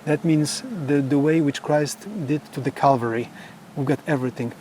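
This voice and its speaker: A man whose first language is Polish, a monk explaining his monastery grounds on a tour in slow, earnest English. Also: on the high side, fairly even intonation, dark tone, slight background noise, low-quality recording. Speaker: Carmelite monk giving a tour. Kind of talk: casual guided tour conversation.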